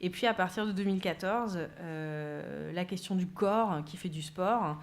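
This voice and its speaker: A woman's voice: lowish voice, measured pace. A woman giving a conference talk, hesitating often with fillers. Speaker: speaker from a gender equality centre. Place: conference room.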